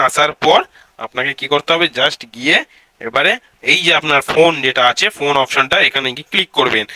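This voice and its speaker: A man's voice: on the high side, bright, forceful tone, no echo, low-quality recording. A man hosts a casual step-by-step tech tutorial, addressing viewers directly and repeating his instructions.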